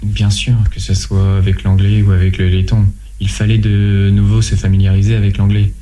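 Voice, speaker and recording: A young man from France with a low, very dark and mellow voice. He answers questions in a measured way, hesitating and restarting now and then. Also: completely flat delivery. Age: 20s. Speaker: interview guest, volunteer.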